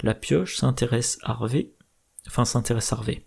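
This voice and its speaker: A slightly hoarse man methodically explaining card game strategy, thinking aloud with hesitations. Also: medium pitch, moderate pace, darkish tone. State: slightly hoarse, irritated throat. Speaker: board game channel host.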